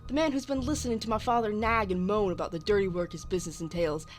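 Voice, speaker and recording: A woman's voice: mid-range voice, fast, faint music behind, natural voice. A woman playing an irked, defensive young man, a mayor's resentful son, in a radio drama.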